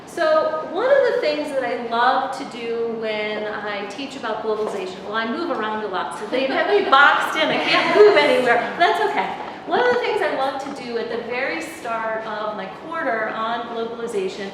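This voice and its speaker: A woman's voice: fairly high pitch, animated, normal speed, few pauses. A middle-aged woman professor giving a conversational lecture, projecting her voice without a microphone. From United States. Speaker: university professor giving a talk. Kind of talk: public lecture. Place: conference room, indoors. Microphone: far camera, no microphone. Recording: echoey hall from far off, room noise.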